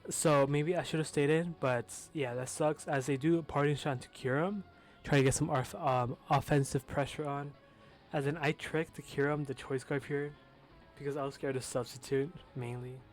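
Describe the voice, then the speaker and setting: A man's voice: a little high, fairly even intonation, normal speed, soft background music. A young man with a lisp casually commentating his own competitive match, talking loosely with fillers and the odd swear word.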